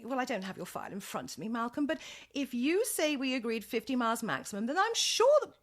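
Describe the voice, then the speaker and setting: A woman's voice: on the high side, very animated, quick pace, fairly bright tone. Woman acting a gushing British agency boss, wildly swooping voice. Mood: flustered, placating. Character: gushing, evasive, put-upon woman running a dating agency. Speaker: actor in a radio play. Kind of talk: radio comedy play acting.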